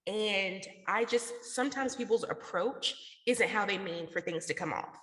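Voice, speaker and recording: A woman's voice: mid-range voice, normal speed, fairly bright tone. American lady from the south, casual slangy interview talk, kinda echoey and reverby. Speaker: interview guest, podcast network founder.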